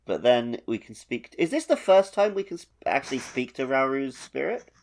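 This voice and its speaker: A man's voice: medium pitch, big pitch swings, a little fast, gravelly, a little mellow. A man co-hosting a video game podcast, talking things over in casual chat. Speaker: podcast co-host.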